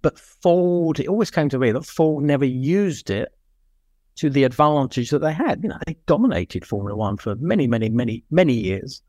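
A man's voice: medium pitch, lively intonation, moderate pace, mellow, no room sound. Rambling man chatting, close and dry. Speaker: podcast co-host. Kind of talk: casual motorsport chat.

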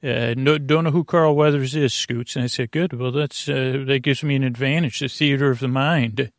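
A man hosting a bedtime podcast in a creaky, lulling voice, meandering through halting asides and false starts. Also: medium pitch, fairly even intonation, no room sound, clean studio sound. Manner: soothing, lulling. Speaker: podcast host. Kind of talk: rambling bedtime podcast monologue to lull listeners to sleep.

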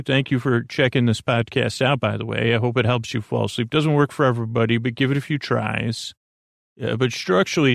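A man hosting a bedtime podcast, talking in a creaky voice and wandering through tangents with many fillers. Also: mid-range voice, no echo, studio quality. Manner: meandering, full of tangents and fillers. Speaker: podcast host. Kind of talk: rambling bedtime podcast intro.

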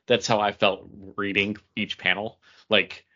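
A man's voice: on the low side, flat delivery, measured pace, pushed and bright. Wry man chatting on a slightly dull mic. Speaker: co-host of a comic book podcast. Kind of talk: casual chat.

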